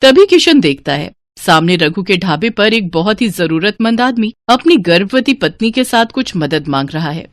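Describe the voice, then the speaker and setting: Female storyteller for scripted moral tales; medium pitch, highly varied intonation. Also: sing-song, normal speed, hardly any pauses, dry recording.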